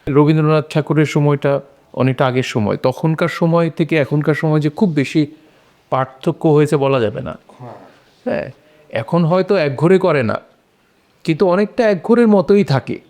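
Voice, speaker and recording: A man's voice: a little high, normal speed, a little mellow. A man on a discussion panel, explaining his opinions on a short story in a casual conversational way.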